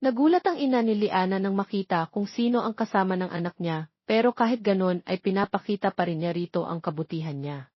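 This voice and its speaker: A woman narrates a scripted drama at a medium pitch. She works as the storyteller. The recording is dry and slightly muffled. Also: animated, brisk, no room sound. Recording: slightly dull, muffled audio.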